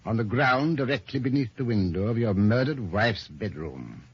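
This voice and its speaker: A shrewd police inspector in an old-time radio mystery. His voice is husky and swings expressively in pitch, with formal, measured authority. The recording is dulled, like an old broadcast. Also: animated, highs cut off. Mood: accusing. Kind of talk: old-time radio detective drama acting.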